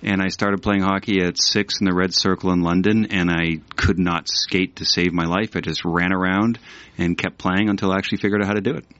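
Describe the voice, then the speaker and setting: A man's voice: low voice, fairly even intonation, a little fast, a bit bright, a little hiss or hum. A Canadian man, a writer and math teacher, tells a wry, self-deprecating story on a radio panel. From Ontario, Canada. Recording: muffled radio studio sound.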